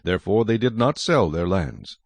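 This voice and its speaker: A man narrating scripture from a script as a measured, dramatic storyteller. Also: lowish voice, big pitch swings, dry recording.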